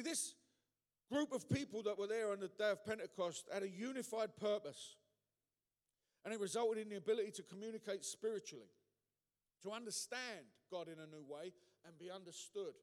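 Male pastor, high-pitched voice, emphatic colloquial sermon delivery, hall reverb. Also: a bit bright. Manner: emphatic, colloquial, with rhetorical questions and calls for amen. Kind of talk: passionate sermon preaching.

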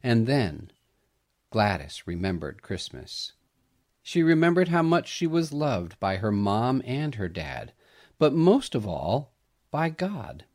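A man narrating a scripted family story in a gentle, dramatic, sentimental manner. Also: mid-range voice, sing-song, takes it slowly.

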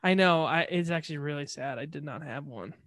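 A man co-hosting a casual podcast, playful and teasing, quick to react and laugh. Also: fairly high pitch, fairly bright tone, dry recording, clean studio sound. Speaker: podcast co-host. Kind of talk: casual chat.

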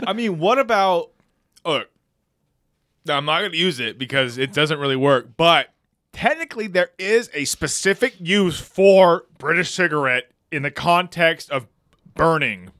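Animated man in casual podcast banter. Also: fairly high pitch, a little slow, no room sound, studio quality. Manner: animated, swears casually, self-deprecating jokes. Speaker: podcast co-host.

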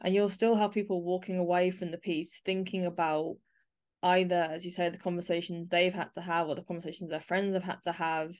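A woman's voice: on the low side, little pitch movement, fairly quick, darkish tone. A woman speaking as the host of an arts podcast, interviewing and presenting.

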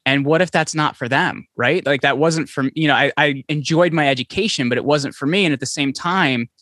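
A man being interviewed as a podcast guest, talking in quick, animated stories full of restarts. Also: a little high, dry recording, clean studio sound. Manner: fast, animated storytelling with many restarts. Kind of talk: conversational interview. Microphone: podcast microphone, remote call.

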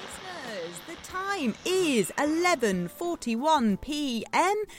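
A woman's voice: high voice, big pitch swings, slow pace, faint music behind, full character voice. A woman playing a chatty, needy late-night radio DJ in a scripted drama, playful and theatrical, coaxing her listeners. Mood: eager, coaxing. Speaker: radio host.

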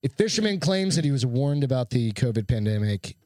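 A man's voice: medium pitch, sing-song, a little fast, mellow, no echo. A man co-hosting a podcast, reading out odd news stories in casual chat and cursing as he jokes. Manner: profane, joking, sarcastic. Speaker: podcast co-host.

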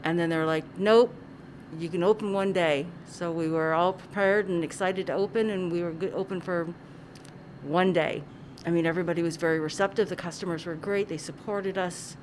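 A woman's voice: lowish voice, fairly even intonation, moderate pace, darkish tone, slight background noise. A woman who co-manages a cinema, chatting earnestly in an interview recorded in a theatre lobby, with many ums. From Ontario, Canada. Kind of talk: casual interview.

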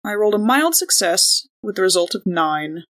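A woman's voice: medium pitch, sing-song, moderate pace, a bit bright. A woman playing a tabletop role-playing game, chatting informally and thinking aloud as she improvises. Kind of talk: casual improvised tabletop role-play chat.

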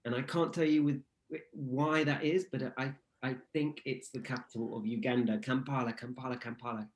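A man's voice: medium pitch, steady tone, normal speed. A man, co-hosting a casual chat and telling a playful, rambling story as the show's language guru. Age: 30s. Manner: anecdotal, with restarts and playful asides.

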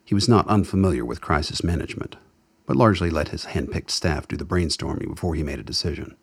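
A man narrates a radio drama from a literary script. His voice is extremely deep, with a gravelly creak and a husky edge. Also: quick pace.

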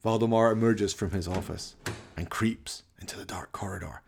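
A man's voice: on the low side, normal speed, vocal fry, slight background noise. A man narrating a scripted radio play in an arch, florid, dramatic storytelling manner.

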